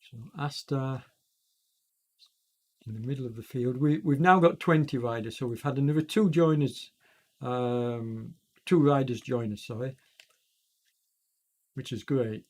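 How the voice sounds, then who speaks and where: A man's voice: medium pitch, a little slow, long pauses. Congested man commentating a virtual bike race. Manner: rambling, self-correcting, many fillers. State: congested with a chest cold. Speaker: e-racing commentator and streamer.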